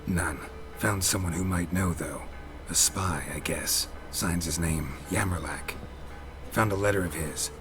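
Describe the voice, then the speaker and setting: An actor playing a gruff, world-weary monster hunter in a video game, terse and deadpan with a gravelly voice. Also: male voice, low-pitched, unhurried, music in the background. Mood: curt, dry. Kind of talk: video game character acting.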